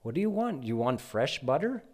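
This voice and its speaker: A man's voice: medium pitch, very animated, normal speed, a little mellow. A man voicing village aunties who coax and question a fussy little boy. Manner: questioning, coaxing, slightly raised voice. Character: village women, fussing aunties asking a child.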